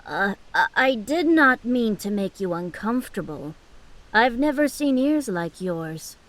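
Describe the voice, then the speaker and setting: A woman's voice: on the high side, lively intonation, measured pace, fairly bright tone, poor audio. Woman acting a leisurely, inquisitive older halfling merchant. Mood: curious, apologetic. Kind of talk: fantasy audio drama acting.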